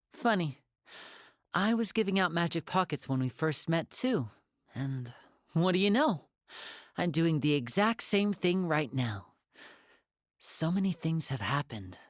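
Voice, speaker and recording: A woman's voice: lowish voice, no room sound, studio quality. An actress playing a composed, courteous young female magician's assistant in a video game, speaking politely and articulately. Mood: friendly, reflective.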